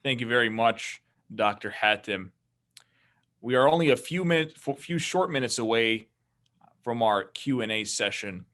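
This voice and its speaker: A man moderating a formal event, speaking in a halting, hesitant way with many pauses. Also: medium pitch, animated, a bit bright.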